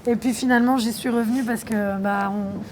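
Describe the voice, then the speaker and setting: Thoughtful young Frenchwoman, slightly high voice. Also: steady tone, brisk, darkish tone, slight background noise. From France. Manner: reflective, thoughtful, with frequent hesitations. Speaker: documentary filmmaker being interviewed. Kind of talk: interview answers.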